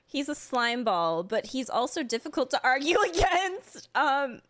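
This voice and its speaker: Animated, high-voiced woman streamer. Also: unhurried, no room sound. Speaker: streamer reacting to a game. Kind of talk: casual live reaction commentary.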